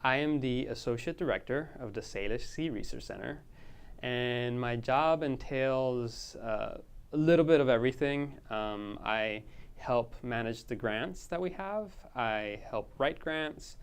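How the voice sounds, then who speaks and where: Smooth-voiced man from Costa Rica. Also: mid-range voice, expressive, measured pace. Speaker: associate director of a research center. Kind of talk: interview about his work.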